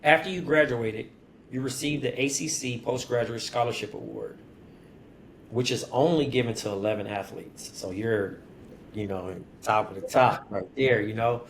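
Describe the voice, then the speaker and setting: A man's voice: medium pitch, moderate pace, a little hiss or hum. A man interviewing a guest, asking questions in a casual, conversational way with friendly asides.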